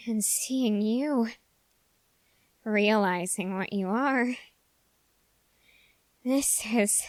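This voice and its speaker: An obsessive young male soldier, voiced by a woman, speaks slowly and close in a very dry recording. He is intense and halting, with formal phrasing, in an intimate ASMR roleplay. His voice sits fairly high for the part. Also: dry recording. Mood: awed, excited.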